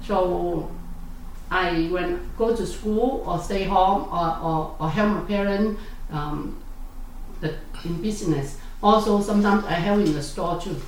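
A woman, answering interview questions in halting speech full of fillers and self-corrections. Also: lowish voice. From South Vietnam. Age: 60s. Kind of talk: oral history interview. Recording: echoey room, distant mic, faint noise.

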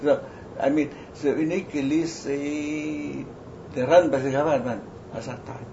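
An elderly man with a Vietnamese accent, whose first language is French, recalls his life in an oral history interview, speaking haltingly with many restarts and fillers. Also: fairly high pitch, fairly even intonation, gravelly, warm tone. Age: older. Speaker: interviewee, retired army surgeon. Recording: lo-fi noisy recording.

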